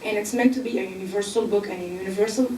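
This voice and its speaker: A woman from Egypt speaking on a panel, explaining earnestly with filler pauses. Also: medium pitch, mellow, distant mic, slight background noise. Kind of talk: panel discussion. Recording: echoey room, mic from afar.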